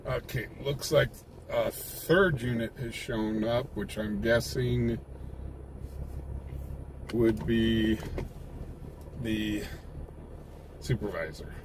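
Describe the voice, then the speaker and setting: An American man livestreams casual commentary at a slow pace, with a sardonic edge. His voice is mid-pitched, and loud vehicle and street noise runs all around him. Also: steady tone. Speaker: livestreamer, independent reporter. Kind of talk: casual live commentary to viewers.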